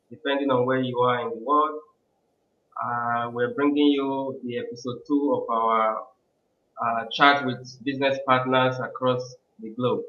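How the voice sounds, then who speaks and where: A man's voice: medium pitch, reverberant, lo-fi sound. A male host opening and running a live online interview in a welcoming tone, with frequent "uh"s. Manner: welcoming, uses frequent fillers.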